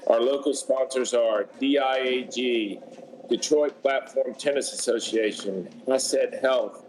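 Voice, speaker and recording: Brief: male play-by-play announcer, measured, formal sponsor reads, faint ambience. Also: medium pitch, slight background noise, rough recording. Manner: steady, formal reading of sponsor lists. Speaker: play-by-play commentator. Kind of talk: sports broadcast commentary.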